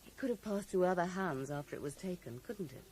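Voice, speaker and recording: Actress as a mellow, defensive young woman. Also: fairly low pitch, expressive, quick pace, natural voice. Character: loyal, defensive young woman in love, recovering in hospital. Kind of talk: 1980s radio drama acting. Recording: slightly noisy old radio broadcast recording.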